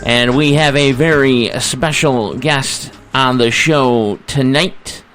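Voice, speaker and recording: An American man hosting a live radio interview with a deliberate, announcer-like delivery. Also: medium pitch, fairly bright tone, clear, resonant tone, soft background music. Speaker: radio talk show host.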